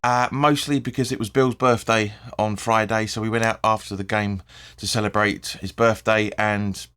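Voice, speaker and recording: A man with a hoarse, croaky voice hosting his own fan channel, rambling through post-match thoughts, correcting himself and swearing freely. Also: lowish voice, steady tone, normal speed, pushed and bright. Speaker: football fan channel host. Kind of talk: casual post-match video commentary.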